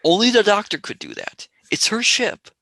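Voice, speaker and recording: A sardonic young male space traveller, acted by a voice actor in a radio play. The pitch swings widely and the tone is bright. The sound is dry and close to the mic. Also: wildly swooping pitch, moderate pace, creaky, dry recording, exaggerated voice. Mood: wry. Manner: sarcastic, wheedling.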